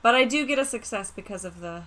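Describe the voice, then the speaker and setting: A woman's voice: on the high side, animated, quick pace, a bit bright. A woman chatting casually as a player in a tabletop role-playing game.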